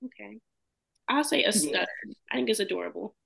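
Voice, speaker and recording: A woman co-hosting a casual podcast, soft-spoken and hesitant, pausing often with "um". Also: mid-range voice, expressive, creaky, slightly rough. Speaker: podcast co-host. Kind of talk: casual group chat.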